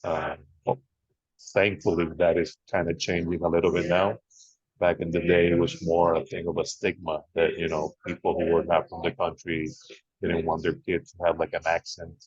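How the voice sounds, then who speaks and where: Very deep-voiced Venezuelan man, husky. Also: little pitch movement, normal speed, darkish tone, dry recording, dull audio. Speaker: panelist, beer writer. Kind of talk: personal account on a panel.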